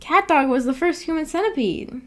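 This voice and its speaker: A woman's voice: high voice, expressive, brisk, fairly bright tone. A woman hosting a livestream, chatting casually and reading out viewer comments in a giggly, chatty way. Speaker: streamer and host.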